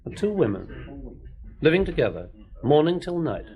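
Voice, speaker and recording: A curt, sardonic snob. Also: male voice, a little high, big pitch swings, normal speed, muffled recording. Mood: offhand. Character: aloof young man, dismissive, snobbish. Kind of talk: radio drama acting.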